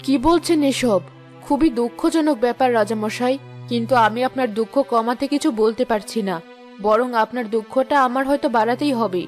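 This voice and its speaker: An anxious, insistent cartoon queen, voiced by a woman, formal and firm in her phrasing. Her voice is slightly high, with music clearly playing behind it. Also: fairly quick, darkish tone, close to an everyday voice.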